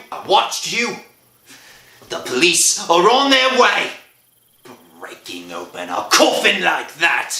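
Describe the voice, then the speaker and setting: A man playing a gruff, suspicious cemetery caretaker who scolds in colloquial speech in a horror radio drama. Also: high-pitched, pushed and bright, reverberant, recorded from a distance, full character voice. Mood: accusing. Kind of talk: old-time horror radio drama acting.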